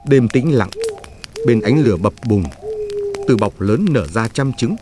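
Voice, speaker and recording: A man reading a scripted legend as a storyteller, in a solemn, measured manner. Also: medium pitch, animated, background noise. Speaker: narrator.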